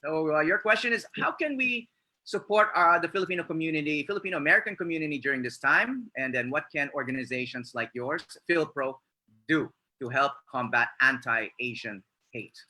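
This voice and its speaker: Male panel moderator, very bright forceful tone, halting delivery full of fillers. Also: on the high side, animated.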